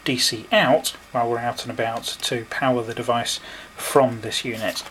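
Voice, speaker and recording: A man giving a hands-on product review, explaining step by step in short, unhurried phrases, indoors at a desk. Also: medium pitch, fairly even intonation, a bit bright. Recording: noisy home recording with clicks.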